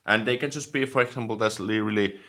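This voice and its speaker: Low-voiced man chatting casually. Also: quick pace. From Finland. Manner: relaxed, chatty, leans on "like" as filler. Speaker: language-learning YouTuber. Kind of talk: casual live stream, answering viewer comments.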